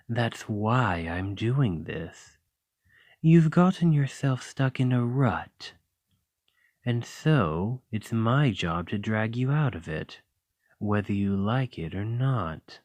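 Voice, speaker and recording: Guy doing a low-voiced dragon boyfriend, soft and coaxing, asmr roleplay, very dry close sound. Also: lively intonation, slow pace, no room sound. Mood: tender. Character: doting, teasing male dragon lover.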